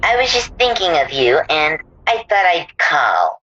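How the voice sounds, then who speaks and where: A woman's voice: deep voice, sing-song, a little slow, sharp tone, no echo, muffled recording, full character voice. A woman playing a breezy, gossipy housewife chatting with a friend in a radio play. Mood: breezy, chatty.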